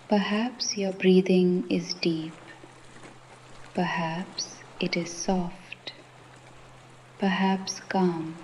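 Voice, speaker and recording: Very slow, mellow woman guiding meditation. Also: on the low side, lo-fi sound, a little hiss or hum. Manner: slow, gentle, soothing, with pauses. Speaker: meditation guide. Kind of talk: guided meditation narration.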